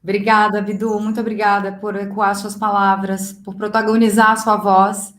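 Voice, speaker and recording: A woman moderates a panel in a formal style. Her voice sits at an average pitch. The sound is noticeably roomy and echoing. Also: level tone, normal speed, a bit bright.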